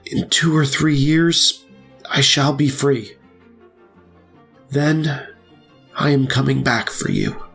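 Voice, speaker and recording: A man playing a bewildered but resolute young fugitive in an audio drama, speaking gravely and earnestly. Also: mid-range voice, slow, pauses often, a bit bright, soft background music, heavily acted.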